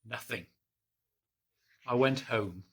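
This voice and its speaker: A man gently reading a children's picture book aloud in the first person, in a soft, hushed and unhurried way. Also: medium pitch, breathy edge. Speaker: storybook reader.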